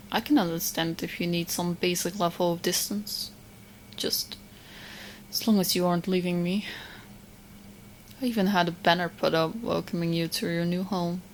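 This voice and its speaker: Woman playing a low-voiced, lovestruck, clingy witch girlfriend. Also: a little hiss or hum, close to an everyday voice, low-quality recording. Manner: hesitant, doting, halting phrases. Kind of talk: ASMR roleplay, soft intimate acting to listener.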